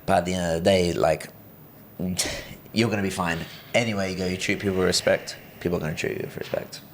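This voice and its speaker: A young man from Brazil speaks with a British-tinged accent in a deep register. His voice is low and rough with fry, and he wanders through his points with fillers and restarts. A faint noise sits under the recording. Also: fairly even intonation, brisk. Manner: rambling, many fillers and restarts. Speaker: podcast guest. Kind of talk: casual interview chat.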